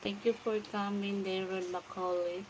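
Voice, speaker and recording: A woman's voice: mid-range voice, flat delivery, normal speed, noisy background. A Filipino woman in her forties with a Filipino accent, chatting on a casual late-night home livestream and greeting viewers by name as she reads their comments aloud. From Philippines. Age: 40s. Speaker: livestream vlogger.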